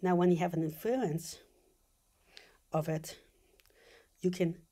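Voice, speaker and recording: A woman's voice: fairly low pitch, a little slow, dark tone. A woman giving an informal explanatory monologue, thinking aloud as she explains technical ideas step by step. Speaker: video essayist.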